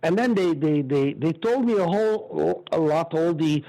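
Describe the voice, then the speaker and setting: A man's voice: a little high, animated, normal speed, dark tone, poor audio. A man in his sixties with a Belgian accent, telling stories emphatically and with strong opinions as an interview guest. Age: 60s. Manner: emphatic, opinionated, repeats words. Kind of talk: animated conversational storytelling.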